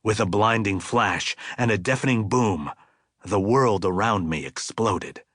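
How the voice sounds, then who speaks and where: A man reading literary fiction as an audiobook narrator, in a measured, deliberate and expressive way. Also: on the low side, no echo, studio quality.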